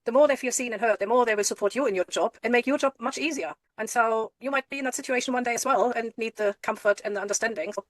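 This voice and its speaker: A middle-aged woman from Germany speaks, earnest and encouraging as she gives advice. Her voice sits a little high. The sound is close and dry, with no room around it. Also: quick pace, hardly any pauses, no room sound. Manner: encouraging, emphatic, repeats herself for stress. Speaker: guest.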